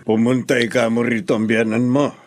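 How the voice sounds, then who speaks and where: An actor playing a calm, conciliatory father in a radio drama. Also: mid-range voice, fairly quick, soft background music, lightly acted. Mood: calm, soothing. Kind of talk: Tagalog radio drama acting.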